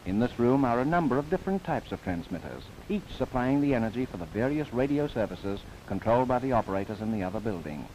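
A man narrating a scripted 1930s documentary film in a formal, measured, earnest manner. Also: medium pitch, sing-song, warm tone. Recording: noisy 1930s film soundtrack.

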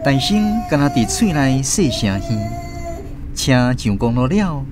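A man with a Taiwanese accent giving expressive scripted narration as the host of a radio drama. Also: medium pitch, a little slow, music in the background.

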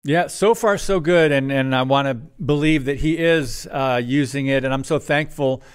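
A man hosting a faith talk show, introducing a guest and questioning him in a friendly way with quick bursts of speech. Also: medium pitch, clear, resonant tone. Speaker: podcast host. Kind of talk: interview host chat.

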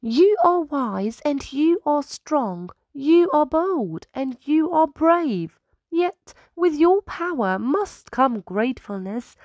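A woman's voice: high-pitched, darkish tone, dry recording, voice pushed up, full character voice. A woman voicing a small, bold, earnest squirrel royal scribe who proclaims and moralizes in a children's storybook. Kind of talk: children's storybook read-aloud.

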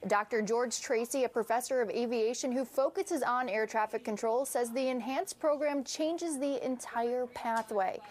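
A woman's voice: on the high side, darkish tone. A woman in her thirties delivering a broadcast news report as a TV reporter. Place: TV newsroom, indoors.

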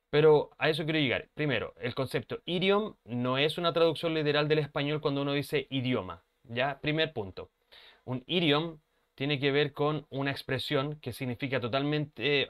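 Guy teaching english over a webcam, smooth clear voice, keeps asking "ok?" to check everyone follows. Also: mid-range voice, normal speed. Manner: explanatory, checks in with frequent "¿ok?" and "¿ya?". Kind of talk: online class explanation. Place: home room, webcam.

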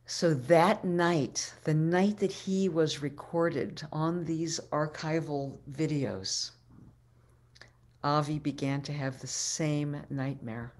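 A woman in her sixties giving a keynote talk as a storyteller, deliberate and dramatic, with pauses and repetition, speaking over a video call from home. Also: low voice, a little mellow. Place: living room at home, indoors. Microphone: webcam video call microphone.

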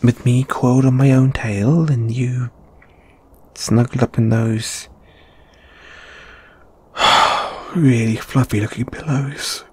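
A British man voicing a shy, flustered lamia flatmate in an intimate audio roleplay, with stammering, hesitant, sighing delivery. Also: medium pitch, slow pace, pauses often, husky. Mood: nervous, defensive.

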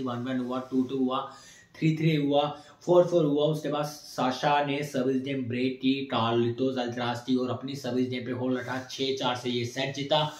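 A young man talks straight to camera in a small room, running through set scores in quick, energetic lists. His voice sits at an ordinary middle pitch. The room around him is bare and echoey, with the camera a little way off. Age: young adult. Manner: energetic, recaps scores in quick lists, gestures while talking. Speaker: sports channel host. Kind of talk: post-match tennis analysis to camera.

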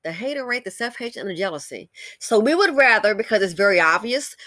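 A woman's voice: medium pitch, expressive, a little fast, pushed and bright, no echo. An American woman giving blunt, scolding, opinionated commentary with emphatic conversational asides and rhetorical questions as she reads out comments. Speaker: commentary channel host.

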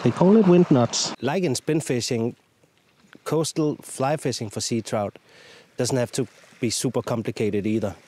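A man in his thirties hosting a fishing vlog outdoors on a sea shore, talking in a relaxed, chatty, self-mocking way into a lapel mic. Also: mid-range voice, normal speed, slight background noise. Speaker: fishing show host.

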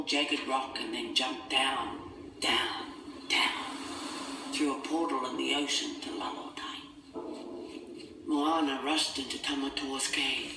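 A man reading a scripted children's storybook as its narrator. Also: medium pitch. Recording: echoey, distant, music behind.

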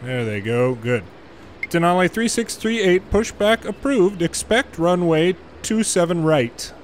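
A man with a slightly high-pitched voice narrates his airport game. He gives measured controller-style instructions and drops into casual muttered asides, with some ambient noise behind him. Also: expressive. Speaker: gamer and former air traffic controller. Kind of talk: gameplay commentary with air traffic control radio phraseology.

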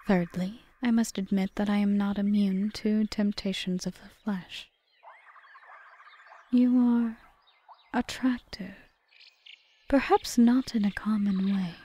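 A woman playing a cunning, possessive, seductive witch in an audio roleplay, speaking in a formal, measured, softly whispery way. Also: medium pitch. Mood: intrigued, seductive. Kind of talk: ASMR audio roleplay, scripted monologue.

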